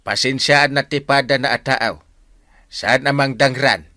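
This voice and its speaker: An actor playing an excitable village captain in a radio comedy-drama, with an emphatic, exclamatory delivery. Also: male voice, mid-range voice, unhurried, no room sound, studio quality. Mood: stern. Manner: emphatic, exclamatory, swinging between shouts and murmurs.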